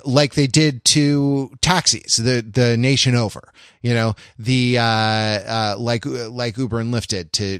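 A man's voice: medium pitch, clean tone, dry recording, clean studio sound. A man co-hosting a culture podcast, talking casually, rambling with many fillers, restarts and jokey asides. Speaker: podcast co-host. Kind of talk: casual conversational commentary.

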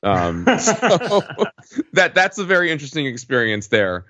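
A middle-aged man co-hosting a podcast, telling a casual story in a halting, digressive, wry way. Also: on the high side, wildly swooping pitch, pushed and bright, dry recording, highs cut off. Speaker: podcast co-host recounting a trip.

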